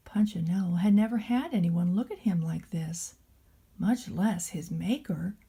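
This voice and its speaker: A woman reading a children's story aloud in a gentle narration style. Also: medium pitch, breathy edge. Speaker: storybook reader.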